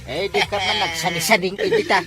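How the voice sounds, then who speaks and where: An actor with an Ilocano accent in a comedy sketch, teasing and animated. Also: mid-range voice, brisk, soft background music, close to an everyday voice.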